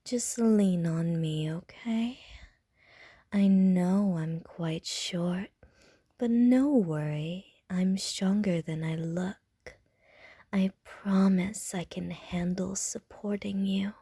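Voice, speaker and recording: Short, teasing female vampire voiced by a woman for ASMR roleplay: unhurried half-whispered delivery, mellow tone, innuendo-heavy flirting. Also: on the low side, breathy edge, dry recording, studio quality, full character voice. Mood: flirty whisper.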